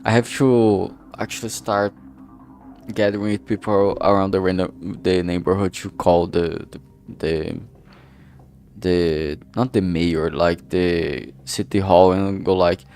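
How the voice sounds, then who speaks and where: A man with a lowish voice and a strong creak talks off the cuff to his stream. He rambles and stumbles as he hunts for words, dropping casual swearing along the way, over a streaming mic. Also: steady tone, darkish tone. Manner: rambling, halting, often losing his thread. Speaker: streamer and amateur game writer. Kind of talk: casual livestream chat. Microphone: filtered streaming microphone. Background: background music.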